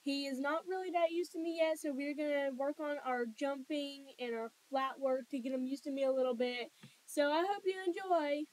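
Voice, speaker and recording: A woman with a high voice speaks as a video host. She narrates a role-play vlog in a casual style, addressing viewers directly. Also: moderate pace, noisy background.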